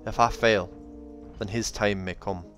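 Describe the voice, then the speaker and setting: A man voicing a gruff, protective old dwarf with rolled Rs, in the manner of a fantasy audiobook. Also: lowish voice, steady tone, unhurried, fairly bright tone. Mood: sorrowful. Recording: music behind the voice.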